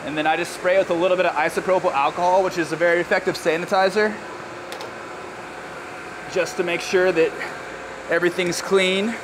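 A man in his thirties working as a brewer, explaining barrel filling in a relaxed, casual way on a brewery floor. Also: high voice, a little fast, pauses often. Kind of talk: hands-on explanation. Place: large brewery floor with steel tanks, indoors. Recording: clear machinery and air-con noise.

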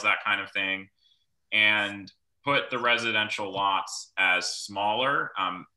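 A young man giving an informal explanation as a planner on a video call, speaking in a halting way. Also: lowish voice, sharp tone, poor audio. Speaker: rural planner presenting at a public meeting. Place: small room at home, on a video call. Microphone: computer microphone on video call.

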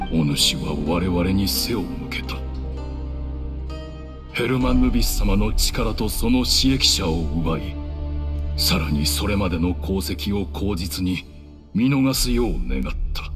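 An actor playing a stern, unforgiving old temple leader in a video game, with solemn, archaic and imperious speech. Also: male voice, low voice. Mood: grave, condemning. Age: older. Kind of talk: dramatic video game acting. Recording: dramatic music behind the voice.

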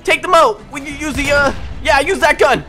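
A young man doing excited, improvised roleplay commentary over a video game, urgently shouting commands to teammates. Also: very high voice, normal speed. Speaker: gaming video host playing a roleplay game. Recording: loud music behind the voice. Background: loud music.

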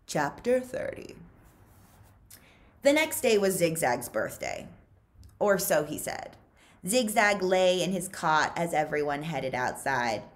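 Expressive American woman reading aloud, unhurried. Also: lowish voice. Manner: animated, deliberate storytelling with dramatic emphasis. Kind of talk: expressive children's audiobook-style read-aloud. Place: small quiet living room with bookshelves.

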